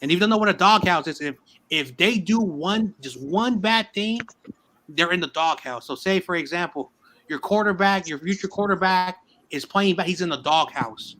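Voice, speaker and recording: A man with a slightly high voice talks football in a casual hangout. He rambles and repeats words as he builds his point, loose and conversational. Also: normal speed, pushed and bright, poor audio. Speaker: sports show host. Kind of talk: casual sports debate.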